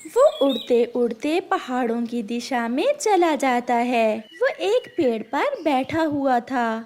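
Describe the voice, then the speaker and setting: Slow high-pitched woman narrating a story. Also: big pitch swings, darkish tone. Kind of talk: scripted children's story narration.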